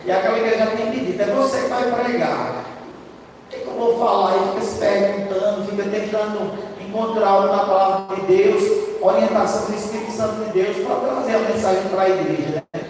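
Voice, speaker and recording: A hoarse man preaching a sermon in a church, talking loud and conversational, often tagging his sentences with a quick question to the congregation. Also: high-pitched, fairly even intonation, slight background noise. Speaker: lay preacher. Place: church sanctuary. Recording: distant, echoey church hall.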